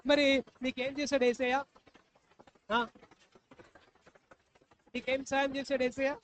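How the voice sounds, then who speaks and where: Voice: sits high, flat delivery, slow. A puppet show host talking live to children, coaxing and repeating questions. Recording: dull lo-fi live recording, faint noise.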